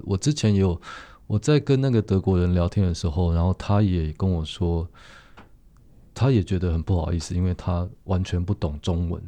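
A man's voice: deep voice, dark tone, dry recording. A man with a Taiwanese Mandarin accent chatting reflectively and earnestly, feeling his way through his phrases. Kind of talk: casual reflective chat.

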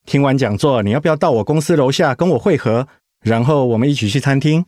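A man's voice: medium pitch, fast talker, darkish tone, no echo, studio quality, natural voice. A man playing a tender, coaxing young husband in a radio drama. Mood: caring. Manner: affectionate, coaxing. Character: tender, doting young husband fussing over his wife.

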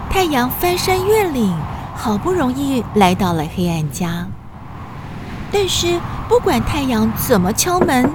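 A woman narrating a children's story in an expressive, scripted storyteller style. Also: fairly high pitch, noisy background.

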